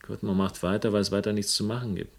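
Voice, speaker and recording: An actor playing a wry, middle-aged investigator who narrates and talks in a German radio play, sardonic, literary and dryly teasing. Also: male voice, on the low side, steady tone, fast talker, creaky. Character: wry, world-weary male investigator and narrator, flirtatious, philosophical. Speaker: lead actor and first-person narrator.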